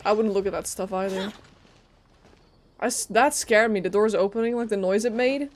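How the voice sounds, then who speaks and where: Chatty woman streamer, medium pitch. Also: moderate pace, a little mellow. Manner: informal, chatty reactions, swears. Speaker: live streamer playing a game. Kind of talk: casual game stream commentary.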